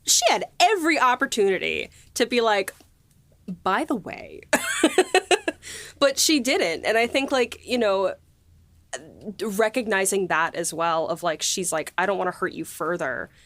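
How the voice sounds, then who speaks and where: A woman co-hosting a podcast in casual conversation, animated and acting out bits of dialogue as she talks. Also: mid-range voice, measured pace, no echo. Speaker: podcast co-host and writer.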